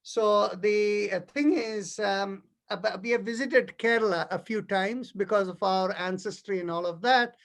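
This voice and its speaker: A man's voice: sits high, a little slow, few pauses, fairly bright tone. A middle-aged man from India with an Indian accent and Tamil as his first language, teaching yoga philosophy in a didactic, explanatory way and checking that listeners follow. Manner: didactic, checks understanding with short tag questions. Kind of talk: explanatory spiritual lesson.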